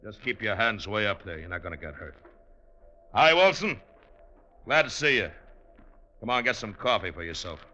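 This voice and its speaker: A man acting an unhurried, folksy, drawling American sheriff in an old-time radio western. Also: fairly low pitch, big pitch swings, bright, forceful tone. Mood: calm, coaxing. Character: unhurried Old West sheriff coaxing trapped outlaws.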